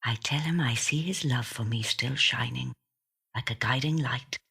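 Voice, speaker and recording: A woman reading a first-person literary short story in a measured, gentle storytelling manner. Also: very deep voice, creaky, slightly rough. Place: audio studio.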